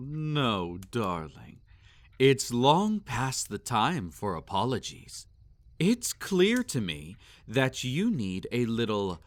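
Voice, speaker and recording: A man playing a possessive, sadistic male vampire in intimate roleplay audio, with a theatrical, teasing and condescending manner. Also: mid-range voice.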